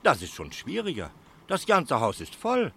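An actor playing an obliging, busy riverside innkeeper in a German radio play, deferential and homely. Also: male voice, medium pitch, wildly swooping pitch, moderate pace, gravelly, fairly bright tone, slightly rough, full character voice.

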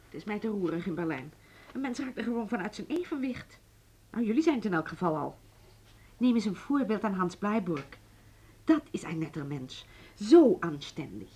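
Een actrice speelt een nuchtere, afkeurende huisvrouw die op fatsoen let, in een hoorspel. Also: female voice, mid-range voice, big pitch swings, normal speed, warm tone, husky. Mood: disapproving. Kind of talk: radio drama acting.

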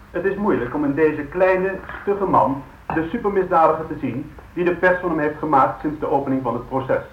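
An actor playing a serious, measured male news commentator giving a scripted report in a radio drama. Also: on the high side, natural voice. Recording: dull, echoey radio sound.

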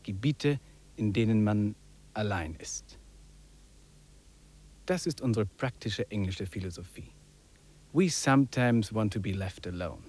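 An actor in a 1950s radio drama playing a wry, contemplative English trekker who muses and drops in English phrases. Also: male voice, on the low side, measured pace, long pauses, a little mellow. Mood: reflective. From England. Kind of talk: 1950s German radio drama acting, reflective monologue and dialogue.

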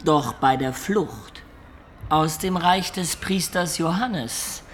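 A man's voice: on the high side, slow, fairly bright tone, slight background noise. An actor playing a roguish man of peasant birth, narrating his life colloquially and reflectively in a radio play.

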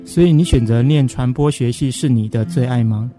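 A man's voice: medium pitch, moderate pace, warm tone, soft background music. A man with a Taiwanese accent hosting a conversational radio interview, asking probing questions. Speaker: radio host.

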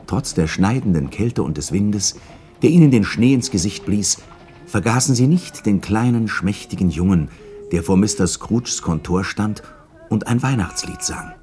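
An actor playing a measured, atmospheric storyteller, narrating a German 1960s radio play. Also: male voice, deep voice, mellow. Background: music.

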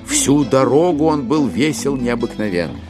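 A man's voice: medium pitch, very animated, moderate pace, over music, close to an everyday voice. A man acting as the wry narrator of a classic novel in a dramatised audiobook. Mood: wry, storytelling.

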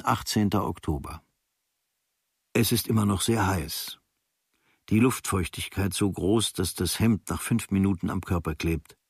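A man reads a literary travel diary for radio as scripted narration, measured and in short, clipped phrases. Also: low-pitched, breathy edge, no echo, studio quality. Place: dry studio.

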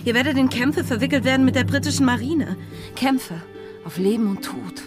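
An actress in a German radio drama playing a bold, freedom-hungry pirate's lover, speaking with earnest, intimate passion. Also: female voice, high-pitched, expressive, a little fast. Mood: worried, urgent. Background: music.

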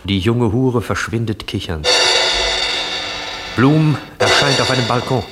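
A man narrating a 1960s German radio play in measured, formal scripted delivery. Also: mid-range voice, over loud music, natural voice. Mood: measured, descriptive. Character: measured narrator reading out stage scenes.

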